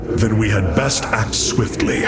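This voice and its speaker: An actor playing a noble, stern alien commander in a video game, formal, weighty and resolute. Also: male voice, fairly low pitch, animated, measured pace. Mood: stern, impatient. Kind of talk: dramatic video game cutscene acting. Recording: loud music behind the voice.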